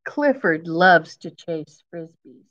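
Slow-paced woman reading to children. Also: on the low side, expressive, dull audio. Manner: simple explanations, repeating key phrases for young listeners. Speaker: teacher reading aloud to children. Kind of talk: children's picture book read-aloud with explanatory asides. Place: indoors, school classroom.

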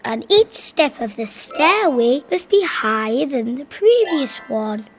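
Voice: high-pitched, big pitch swings, takes it slowly, soft background music, heavily acted. An actor voicing an eager, polite little cube boy with a cheerful, helpful, childlike manner in a preschool cartoon. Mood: thoughtful.

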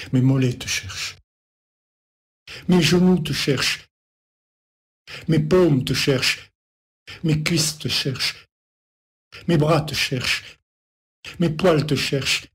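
Slow, higher-pitched man reciting poetry. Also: fairly bright tone. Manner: repetitive, litany-like short phrases with pauses. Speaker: poet.

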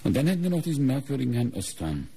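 A sober detective inspector in a radio crime play, husky and dark, thinks out loud. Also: male voice, mid-range voice, sing-song, full character voice. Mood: matter-of-fact. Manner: measured, reasoning aloud. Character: sober, methodical middle-aged male police inspector. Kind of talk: German radio crime drama acting.

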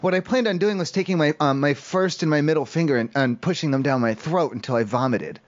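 A man making a prank call, rambling haltingly as a naive, stoned-sounding caller. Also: on the high side. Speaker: prank caller, show host. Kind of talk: improvised prank phone call. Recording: muffled, dull lo-fi call audio.

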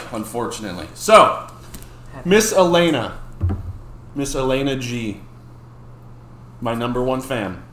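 A man's voice: mid-range voice, animated, slow, pauses often, a bit bright. A man in his thirties hosting a casual unboxing video, chatty and laughing often. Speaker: channel host. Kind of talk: casual chat while unboxing mail. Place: dim home room with gaming chairs. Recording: echoey room with faint noise.

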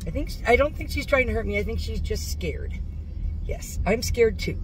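A woman's voice: fairly high pitch, expressive, moderate pace, faint music behind, rough recording. Middle-aged American woman vlogging casually. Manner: informal, folksy, self-narrating. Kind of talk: casual chatty car vlog to viewers. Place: inside a car. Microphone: phone or camera on the dashboard.

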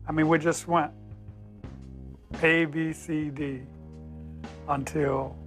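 An older man in a documentary interview, recalling past events slowly and emphatically. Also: fairly high pitch, little pitch movement, fairly bright tone, faint music behind. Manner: slow, emphatic recollection. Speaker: interviewee recalling the civil rights movement.

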